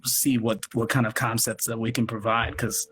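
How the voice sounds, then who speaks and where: A man's voice: mid-range voice, level tone, normal speed, a bit bright. A man chatting casually as an interview guest, speaking emphatically and with enthusiasm, often restarting his sentences and adding asides. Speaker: guest, theatre company producer. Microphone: video call. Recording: noisy live video call.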